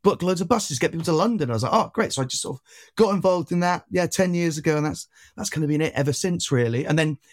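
A man's voice: on the high side, lively intonation, fast talker. A man in his twenties from Wales with a slight Welsh accent, chatting as an interview guest in a rambling way, full of fillers and restarts. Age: 20s. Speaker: guest activist. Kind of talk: casual interview chat.

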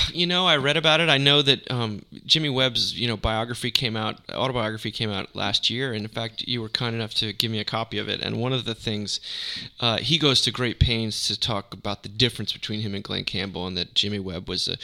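A man's voice: on the low side, brisk, vocal fry, few pauses, sharp tone. A man hosting a casual music discussion, talking enthusiastically and wandering into tangents. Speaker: music podcast host.

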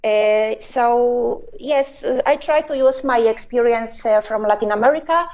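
Halting woman on a muffled phone line. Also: fairly high pitch, a little mellow. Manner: many pauses and filler sounds, halting phrasing. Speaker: interview guest, business owner. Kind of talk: hesitant conversational answers.

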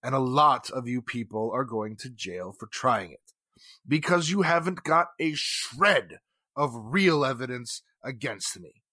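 A man's voice: medium pitch, animated, measured pace, pushed and bright, no room sound. A man acting a smug, blustering blackmailer in a 1940s-style radio drama, with a mocking, condescending manner. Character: cornered, sneering male blackmailer, smug then blustering.

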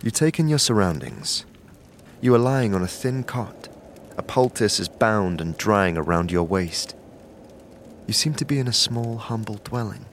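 A man narrates an audio drama in a measured, descriptive way, addressing the listener in the second person. His voice sits low. Faint background noise hangs under the recording. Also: lively intonation.

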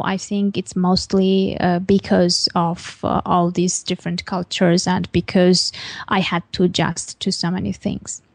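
Armenian-born young woman, halting and reflective. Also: mid-range voice, a little mellow, no echo. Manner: hesitant, many fillers, reflective. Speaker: interviewee. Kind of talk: casual conversational interview.

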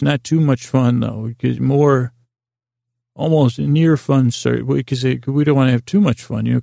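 Very creaky, rambling man at bedtime. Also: mid-range voice, warm tone, no echo, clean studio sound. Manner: meandering, tangled sentences that trail and double back; silly, goofy wordplay. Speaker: podcast host. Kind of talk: rambling bedtime monologue to help listeners fall asleep.

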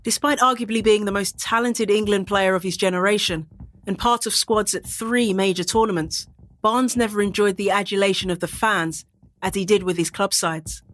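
A woman presenting a documentary in scripted narration, introducing contributors and linking their accounts. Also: medium pitch.

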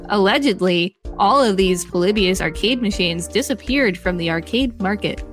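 A woman's voice: medium pitch, lively intonation, normal speed, faint music behind. Woman reading an article for a podcast. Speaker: podcast co-host. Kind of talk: scripted article reading with light commentary.

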